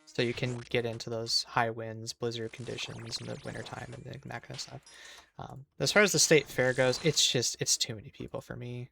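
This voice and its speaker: A man's voice: medium pitch, flat delivery, fairly quick, vocal fry, bright, forceful tone, slight background noise. A man with a Minnesotan accent, in his thirties, chatting casually with viewers while he streams a game and restarting his sentences as he goes. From Minnesota, USA.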